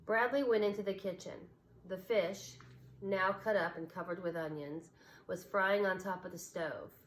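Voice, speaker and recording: A woman teacher reading a children's novel aloud, expressive and changing voices for the characters. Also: mid-range voice, unhurried, a little hiss or hum, poor audio.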